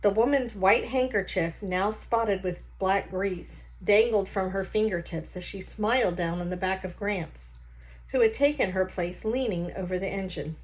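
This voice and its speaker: A woman's voice: mid-range voice, normal speed, low-quality recording. A woman reading a children's novel aloud like a teacher, with short explanatory asides.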